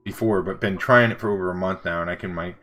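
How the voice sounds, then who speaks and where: A middle-aged man with a deep voice, chatting casually on a livestream as he draws and answers chat. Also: fast talker, soft background music. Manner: relaxed, rambling, reads and answers chat. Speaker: livestreaming vector artist. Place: home studio desk.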